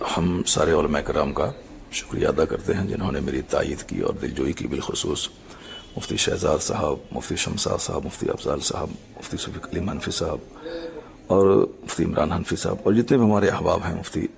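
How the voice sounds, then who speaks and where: Very gravelly, low-voiced man. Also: slight background noise. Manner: formal, measured, halting in short phrases. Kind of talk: explanatory public statement.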